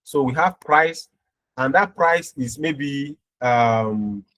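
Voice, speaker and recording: A man's voice: medium pitch, slow pace, fairly bright tone, rough recording. Man teaching a step-by-step tutorial. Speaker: programming instructor.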